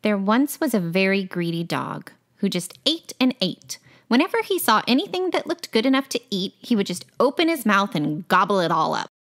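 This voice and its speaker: A woman's voice: mid-range voice, very animated, moderate pace, a bit bright. A woman reading a scripted children's tale as a storyteller.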